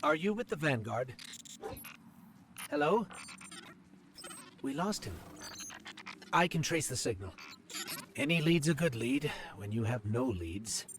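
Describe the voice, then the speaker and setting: Lively, curious robot companion. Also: male voice, medium pitch, slow, pauses often, slight background noise. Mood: alert, puzzled. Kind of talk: video game voice acting. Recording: music under the dialogue. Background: game music.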